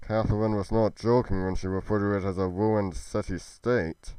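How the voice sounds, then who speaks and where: A man's voice: on the low side, steady tone, normal speed, dark tone, clear, resonant tone, close to an everyday voice, poor audio. A man voicing an earnest, chivalrous fantasy warrior with formal, archaic phrasing, in an amateur roleplay drama. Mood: pensive. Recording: music under the voice.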